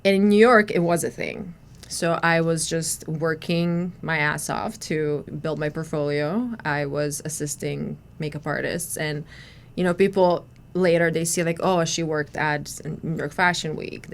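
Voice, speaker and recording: A woman in her thirties from Croatia, speaking English, chatting casually as a podcast guest with lots of fillers and restarts. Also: sits low. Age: 30s. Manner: chatty, frequent restarts and "you know" fillers. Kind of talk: casual conversational storytelling.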